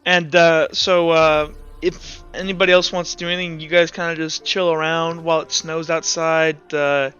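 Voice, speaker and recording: A man runs a tabletop game with informal, rambling narration. His voice is bright and very clear, slightly high, on a slightly muffled recording. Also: little pitch movement, normal speed, few pauses, soft background music. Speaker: game master.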